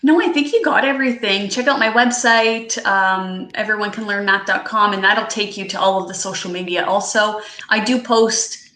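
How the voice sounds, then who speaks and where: Bright-voiced woman, native Portuguese speaker. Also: mid-range voice, echoey room. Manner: warm, modest, halting restarts. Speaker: author and educator guest. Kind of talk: casual interview chat.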